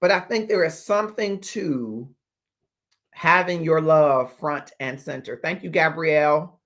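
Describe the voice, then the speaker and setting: A man in his fifties hosting a motivational livestream as a life coach, speaking in deliberate, emphatic phrases with pauses. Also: on the high side, fairly bright tone. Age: 50s. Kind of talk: motivational livestream talk to viewers.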